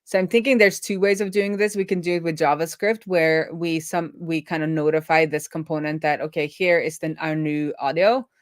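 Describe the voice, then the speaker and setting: A woman's voice: low voice, fairly even intonation, normal speed, few pauses, dry recording, clean studio sound. A woman explaining programming informally as a host, thinking aloud as she goes. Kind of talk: informal live coding explainer.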